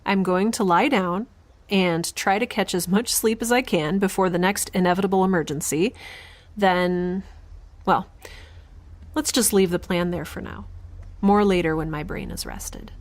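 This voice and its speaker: A woman's voice: lowish voice, expressive, measured pace. A woman playing a weary, overwhelmed young scientist in a science fiction audio drama, speaking a hesitant, wry and confiding diary monologue. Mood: apologetic, tired. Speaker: narrator and lead of an audio drama.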